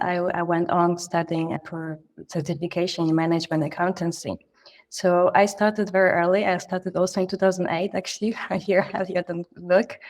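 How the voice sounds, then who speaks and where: A Polish-born woman speaks in a lowish, level voice, reflective and earnest. She often repeats and restarts words as she thinks aloud in an interview. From Poland. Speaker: guest, finance leader. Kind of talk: podcast interview.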